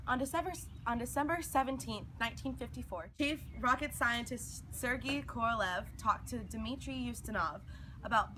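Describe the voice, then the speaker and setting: A woman's voice: on the high side, pushed and bright, a little hiss or hum, low-quality recording. A woman presenting a scripted news report, read aloud. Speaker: news presenter in a mock 1950s newscast.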